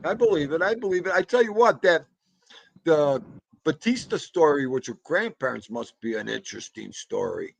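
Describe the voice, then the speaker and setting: A man's voice: fairly high pitch, big pitch swings, normal speed, gravelly, dry recording. A man hosting a live podcast who encourages his guest with short replies and passes on viewer questions. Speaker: podcast host. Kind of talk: casual live podcast chat.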